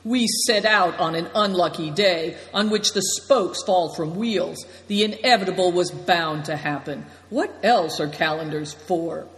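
A woman's voice: fairly low pitch, a little slow, hardly any pauses. A woman reading as a pompous, flowery traveler. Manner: grandiose and emphatic. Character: pompous, flattering, superstitious traveler man. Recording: echoey room with long reverb.

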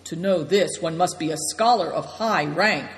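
A woman's voice: fairly low pitch, normal speed, close to an everyday voice. A woman reading as a pompous, flowery traveler. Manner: grandiose and emphatic. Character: pompous, flattering, superstitious traveler man. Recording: echoey room with long reverb.

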